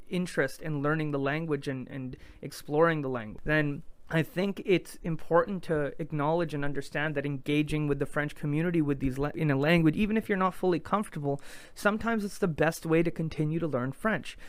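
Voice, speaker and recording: Man, podcast host, slightly high voice, smooth tone, rambling, restarts a lot. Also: a little fast, dry recording. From Quebec. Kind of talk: casual podcast conversation.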